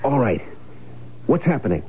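A man's voice: medium pitch, sing-song, normal speed, natural voice. An actor in an old-time radio drama playing a tense, curt, scheming man in hiding. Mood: impatient. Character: tense, scheming man hiding out after faking his death. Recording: muffled, band-limited old radio recording, faint noise.

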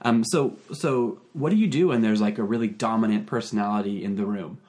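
A man's voice: lowish voice, brisk, darkish tone. A man who is a pastor, hosting a podcast in a casual way with many fillers like "like" and "you know". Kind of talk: podcast conversation.

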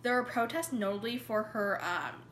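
A woman's voice: on the high side, moderate pace, a bit bright, a little hiss or hum. A woman giving a casual, informal presentation, hesitant, with many fillers and restarts. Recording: echoey room.

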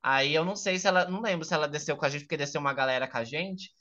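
A woman's voice: low voice, rapid-fire, fairly bright tone, highs cut off. A woman with a Brazilian São Paulo accent hosting a casual podcast, slangy and campy, acting out dialogue as she goes. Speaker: podcast host. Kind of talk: casual gossipy banter.

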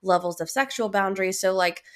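A woman's voice: medium pitch, a little fast. A young adult woman hosting her own solo podcast, speaking with a head cold, reflective and encouraging, with fillers and restarts. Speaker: podcast host.

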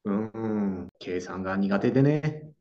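Young guy, calm and gentle, low voice, dull lo-fi sound, slow clear drama lines. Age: young adult. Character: Naoto, young man staying in his hometown. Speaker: radio drama actor. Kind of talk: slow clear radio drama acting for learners. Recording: dull lo-fi audio.

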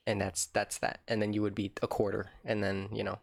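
A man's voice: fairly low pitch, flat delivery, fairly quick, creaky, no echo, studio quality. A young man co-hosting a casual podcast, teasing his friends and working things out step by step. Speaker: podcast co-host.

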